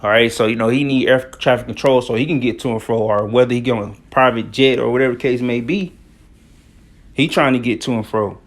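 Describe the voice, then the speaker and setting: A man's voice: mid-range voice, fairly even intonation, brisk. Slangy man giving informal commentary. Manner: conversational, slangy, repeats "all right" and "you know". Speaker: religious commentator. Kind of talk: informal spoken commentary on news and scripture.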